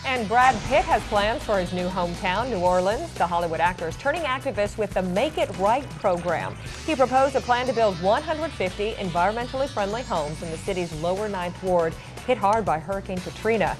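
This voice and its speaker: A woman's voice: medium pitch, lively intonation, fairly quick, few pauses, music in the background. A woman anchoring a newscast, reading scripted stories with light conversational asides. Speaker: TV news anchor.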